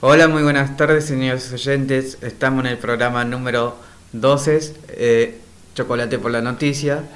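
A man with a mid-pitched voice presents a radio programme. His announcing is casual and informal. Music sits behind the voice. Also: steady tone, measured pace.

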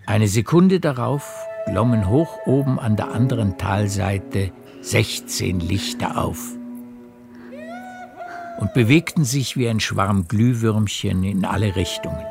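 An actor narrating a literary radio play as a calm storyteller, in a measured, precise way with a gravelly voice. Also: male voice, lowish voice, over music.

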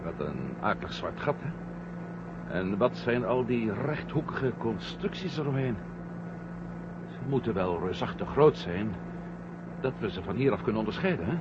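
A man's voice: lowish voice, animated, moderate pace, pauses often, lightly acted. A man playing a firm, cautious astronaut commander in a vintage science fiction radio play. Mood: confused, uneasy. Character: male moon-mission astronaut commander, firm, cautious, sometimes confused. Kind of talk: 1970 Dutch science fiction radio drama acting. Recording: muffled, noisy old radio play recording.

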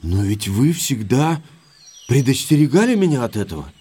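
Casting: uneasy, earnest baronet in radio drama; mid-pitched voice, highly varied intonation, expressive. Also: male voice, normal speed, slightly rough. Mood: dismayed. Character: earnest, uneasy baronet, deferring reluctantly to the detective. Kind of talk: audio drama acting.

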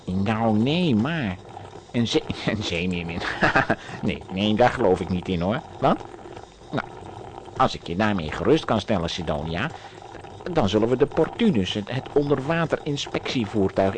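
A man's voice: on the low side, animated, a little slow, slight background noise. A man playing a sceptical, patronising engineer with a hesitant, dismissive manner in a children's audio drama.

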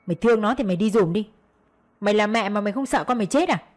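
A woman's voice: mid-range voice, animated, fast, natural voice. A woman voicing a harsh, domineering older mother-in-law who scolds and commands, in an audio story with music behind. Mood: scolding.

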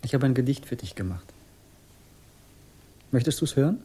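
An actor playing a gentle, brooding, devoted poet in a 1960s radio crime drama, soft-spoken and tender. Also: male voice, mid-range voice, lively intonation, moderate pace, husky.

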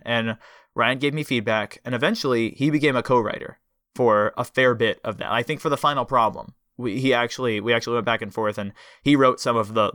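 A young man hosting a casual podcast, chatty and enthusiastic with a rambling flow. His voice is bright and crisp. The sound is dry and close. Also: mid-range voice, dry recording. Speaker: podcast host.

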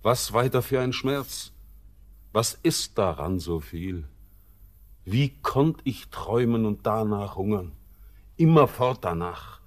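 Brooding, low-voiced seaman, slow and weighty. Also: animated. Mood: bitter, searching. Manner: theatrical, weighty verse declamation. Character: brooding, melancholy seaman, bitter and world-weary. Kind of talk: classic German radio drama acting.

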